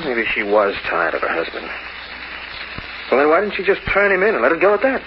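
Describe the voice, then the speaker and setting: An actor playing a cynical, streetwise locksmith who narrates in hardboiled slang, in an old-time radio noir style. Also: male voice, fairly high pitch, wildly swooping pitch, brisk, crowd noise. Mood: wry narrating. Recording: noisy, muffled old radio recording.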